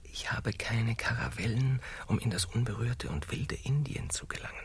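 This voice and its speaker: An actor in a satirical radio play, playing a restless, frustrated young explorer who pleads and insists. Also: male voice, mid-range voice, moderate pace, husky, in a whisper. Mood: hushed, eager. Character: restless, frustrated young Columbus as dreamer and schemer.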